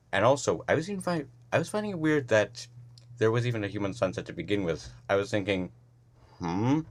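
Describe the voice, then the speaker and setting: A man giving casual, rambling commentary after a story reading, often halting and restarting his words. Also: lowish voice.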